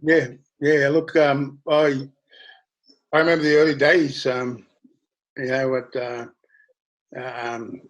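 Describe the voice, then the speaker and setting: A man remembering the past in a halting, soft-spoken way, with many hesitations. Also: mid-range voice, level tone, lo-fi sound. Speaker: musician. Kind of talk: casual conversation.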